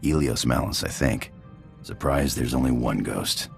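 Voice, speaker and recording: A roguish space pirate from a video game, with an extremely deep, gravelly voice. He is laid-back and wry, and talks over faint music. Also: measured pace, heavily acted. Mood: wry, matter-of-fact. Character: easygoing, roguish male space pirate companion.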